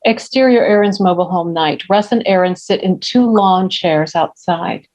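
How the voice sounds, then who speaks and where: A woman's voice: fairly low pitch, normal speed, a little mellow. A woman reading stage directions as scripted narration for a screenplay table read.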